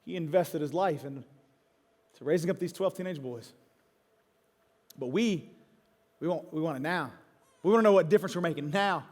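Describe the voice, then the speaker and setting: A man's voice: fairly high pitch, normal speed, long pauses. A man in his forties preaching a sermon as a pastor, speaking emphatically and candidly and repeating phrases for effect. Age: 40s. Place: large church auditorium. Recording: long hall reverb, music underneath. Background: music.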